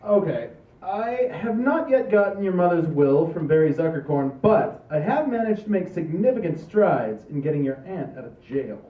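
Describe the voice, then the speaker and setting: An actor playing an excitable, theatrical family man in a live comedy script read. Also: a little high, moderate pace, lightly acted. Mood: matter-of-fact. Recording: distant, roomy, muffled amateur capture.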